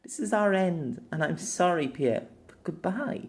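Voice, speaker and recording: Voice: mid-range voice, expressive, measured pace, pitched above the performer's own voice. A narrator voicing a young magical woman, tearful but resolute, in an audiobook. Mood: tearful pleading. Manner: pleading, emotional. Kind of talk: audiobook character voice.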